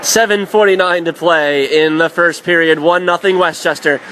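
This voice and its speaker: A man's voice: a little high, steady tone, normal speed, pushed and bright. A man doing live radio play-by-play of ice hockey in clipped, vivid phrases from a noisy arena. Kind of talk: live sports commentary. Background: clear arena background noise.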